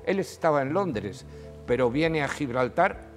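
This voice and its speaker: An older man in a TV studio, telling history as a guest with animated, emphatic delivery. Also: measured pace, in a large hall, faint music behind. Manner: animated storytelling, rises in pitch when emphasizing. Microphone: lapel.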